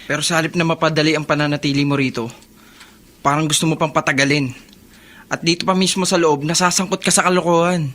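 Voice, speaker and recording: A man's voice: on the high side, fairly even intonation, fast, a bit bright, close to an everyday voice. A man playing an earnest, scolding older brother of a prisoner in a Filipino radio drama. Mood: scolding, concerned.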